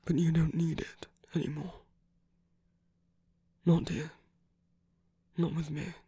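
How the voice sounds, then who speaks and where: A performer voicing a commanding yet tender, possessive villain in an ASMR roleplay, speaking deliberately in a husky voice that often drops to a whisper. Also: mid-range voice, level tone, dark tone, dry recording. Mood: gentle, probing. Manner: slow, deliberate, coaxing, with long pauses. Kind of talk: ASMR roleplay, scripted intimate monologue.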